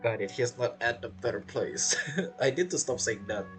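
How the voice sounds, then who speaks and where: A man's voice: mid-range voice, animated, normal speed, music in the background. A man giving casual gameplay commentary as a streamer, rambling and playful, with non-native phrasing. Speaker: game streamer.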